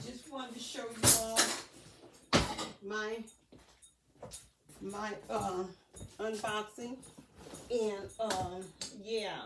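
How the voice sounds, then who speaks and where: A woman's voice: medium pitch, steady tone, drawn-out words, pauses often. Rambling, folksy woman in a roomy, distant recording. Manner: rambling, folksy, thinking aloud. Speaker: vlogger. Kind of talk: casual unboxing vlog chat to viewers. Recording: roomy, distant mic, faint noise.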